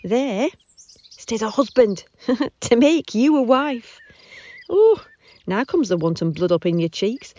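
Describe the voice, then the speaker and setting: A woman's voice: on the high side, big pitch swings, slow pace, mellow. A woman playing a tired, teasing older nurse in a Shakespeare audio drama, rambling and stalling breathlessly. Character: weary, teasing older female nurse, servant and go-between. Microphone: phone, recorded at home. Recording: muffled lo-fi home phone recording.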